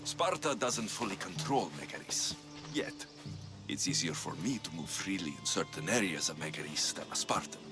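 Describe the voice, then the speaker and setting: Tough hired sword for a game cutscene: low, wry, commanding, very varied delivery. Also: male voice, lively intonation, moderate pace, music in the background. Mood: wry, confident. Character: tough, sardonic male mercenary for hire, adult. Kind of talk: video game cutscene acting.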